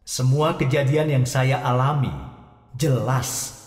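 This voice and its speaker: A man's voice: medium pitch, fairly even intonation, takes it slowly, darkish tone. A man narrating his own life story in a scripted audio drama, speaking directly to listeners with a wry, chatty manner. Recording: roomy, echoey, distant mic with music.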